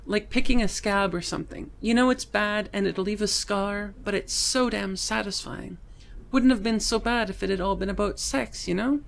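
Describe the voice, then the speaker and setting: Woman acting a bitter, creaky-voiced jilted young assistant. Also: mid-range voice, normal speed, slight background noise, natural voice. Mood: bitter, hurt. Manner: aggrieved, sarcastic. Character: bitter, heartbroken young female assistant, sarcastic. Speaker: voice actor. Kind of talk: voiced comic book dialogue.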